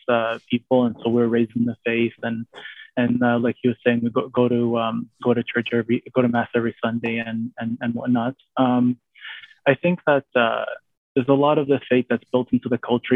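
A hesitant man from Canada talks as a guest, stopping often to find his words. His voice stays flat and even. It comes through a dry, tinny line that sounds like a phone call. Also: medium pitch, dry recording. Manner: hesitant, many pauses and fillers. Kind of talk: casual interview talk.

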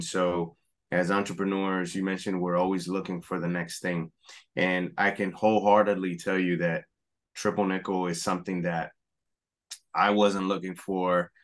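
Middle-aged guy from puerto rico, really low voice, laid back storytelling, lots of restarts. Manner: relaxed, storytelling with frequent restarts. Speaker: guest, apparel company founder. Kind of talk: conversational interview.

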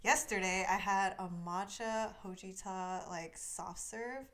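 Slow-paced, laid-back young woman. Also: mid-range voice, a bit bright. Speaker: co-host. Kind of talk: casual chat.

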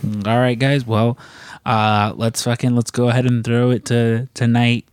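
A man's voice: medium pitch, level tone, moderate pace, clear, resonant tone, no room sound, clean studio sound. A man hosting a casual podcast on a cruise, joking and swearing as he rambles through stories. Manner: joking, profane, rambling storyteller. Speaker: podcast host. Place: aboard a cruise ship.

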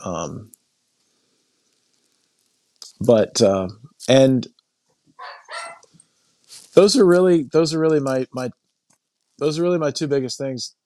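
A middle-aged man talking casually and reflectively as a podcast guest, thinking aloud in a halting way and repeating phrases. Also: mid-range voice, expressive, a little mellow, no room sound. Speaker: podcast guest, coaching client. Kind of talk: casual reflective interview talk.

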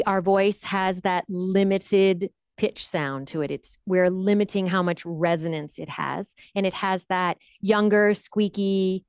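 A woman explaining how breath shapes the voice in an interview, demonstrating with sudden high and low pitches. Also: darkish tone, dry recording, studio quality. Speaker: voice coach.

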